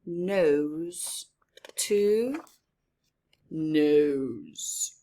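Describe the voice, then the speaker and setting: A woman reading a picture book aloud to children in an expressive, theatrical way, with emphatic words and hushed, whispery phrases. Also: low voice, very slow. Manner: asks young listeners questions and comments on pictures. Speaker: storyteller for children.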